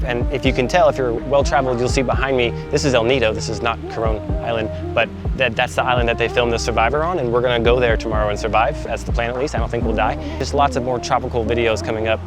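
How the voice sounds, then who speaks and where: A man narrating a travel vlog in an upbeat, joking, chatty way, outdoors on an island tour. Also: medium pitch. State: congested from a head cold. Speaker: travel vlogger.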